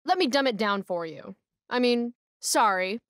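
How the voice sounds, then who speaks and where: A rebellious American teenage girl for a video game. She is sarcastic and defiant, with a fairly high voice recorded dry and close. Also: animated, moderate pace, fairly bright tone, no echo. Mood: mocking defiance. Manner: sardonic, defiant, foul-mouthed.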